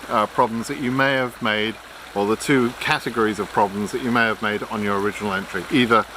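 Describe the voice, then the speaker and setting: A man's voice: medium pitch, noisy background. Blunt man lecturing over running water. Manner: blunt, frank and lecturing, with many ums and restarts. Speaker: immigration advice vlogger. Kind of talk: unscripted explainer to camera.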